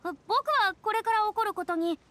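A dainty, theatrical young anime game girl, very high in pitch. She sounds cautious and slightly affected, with boyish wording, over music. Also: fast talker, pushed and bright. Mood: cautious, hesitant. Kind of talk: anime video game acting. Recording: music under the voice.

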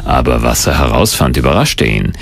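A man's voice: rumbling low, very animated, fairly quick, creaky, husky. A man reading scripted narration as the narrator of a documentary.

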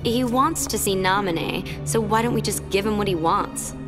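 A cruel young villainess from a video game, acted by a woman, taunts in a mid-pitched voice. The delivery is sneering and condescending, with prominent music playing behind it. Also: moderate pace, close to an everyday voice. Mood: scornful. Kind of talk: dramatic video game voice acting.

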